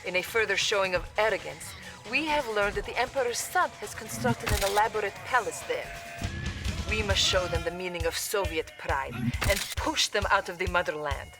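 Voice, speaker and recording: An actress playing a dutiful, earnest Soviet information officer with a Russian accent, giving video game mission briefings. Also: female voice, mid-range voice, moderate pace, over music, natural voice. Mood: formal, resolute. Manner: crisp, formal, patriotic.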